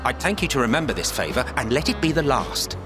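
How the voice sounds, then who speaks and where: An actor playing a haughty, grumbling, long-suffering mage in a video game, with sardonic, theatrical formal phrasing. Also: male voice, mid-range voice, sing-song, fast talker, lightly acted. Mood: grudging, weary. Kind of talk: video game cutscene acting. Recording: music behind the voice.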